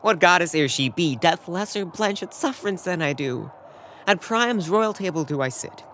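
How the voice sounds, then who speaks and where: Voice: medium pitch, lively intonation, normal speed, fairly bright tone, a little hiss or hum. A young performer playing a lovesick, despairing Trojan prince in a Shakespearean audio drama, impassioned and given to sighing declamation. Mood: impatient. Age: young adult.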